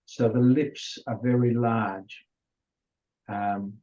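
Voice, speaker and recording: Older male lecturer, first language Hungarian; slow, restarting delivery, dull lo-fi recording. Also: mid-range voice, fairly even intonation, dark tone. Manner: unhurried, with many restarts and fillers. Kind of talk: informal lecture.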